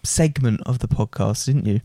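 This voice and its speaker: A man's voice: medium pitch, moderate pace, mellow, dry recording. A young man co-hosting a podcast, earnestly explaining his views in casual chat. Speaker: podcast co-host.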